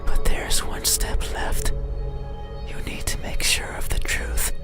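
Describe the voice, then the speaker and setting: Man as an ancient monster, slowly whispering. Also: natural voice. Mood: eerie gratitude. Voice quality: breathy whisper. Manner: slow, deliberate, intimate, halting phrases. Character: ancient lonely monster, melancholy, eerily polite, quietly obsessive. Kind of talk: scripted ASMR horror roleplay monologue. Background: eerie music.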